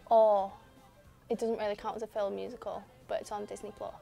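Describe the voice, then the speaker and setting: A woman's voice: mid-range voice, level tone, fairly quick, gravelly, dark tone, soft background music. A young woman in her twenties from near Liverpool, friendly and teasing on a cinema date. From near Liverpool, England. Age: 20s. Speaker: dating show contestant. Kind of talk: casual chat. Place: dimly lit cinema auditorium.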